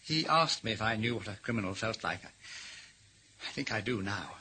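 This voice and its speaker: Sharp-voiced haunted man in radio drama. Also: lowish voice, lively intonation, rough recording. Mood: despairing. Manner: halting, repetitive, overwrought. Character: haunted, guilt-ridden newspaperman cracking under pressure. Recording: hissy old radio broadcast recording.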